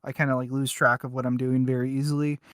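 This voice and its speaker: A man's voice: medium pitch, level tone, fast, a bit bright, smooth, dry recording, clean studio sound. A man co-hosting a podcast, chatting casually and off the cuff in a hesitant, rambling way full of filler words. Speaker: podcast co-host. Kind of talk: casual unscripted review chat.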